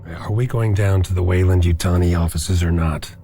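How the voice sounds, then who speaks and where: A man's voice: low-pitched, steady tone, normal speed, mellow, a little hiss or hum. A man playing a tabletop roleplaying game, talking in character.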